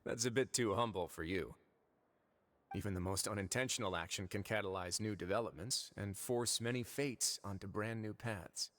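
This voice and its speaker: A man voicing a composed, shrewd duke in a video game, measured, courteous and quietly authoritative. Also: lowish voice, close to an everyday voice. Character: composed, shrewd male duke running a prison fortress.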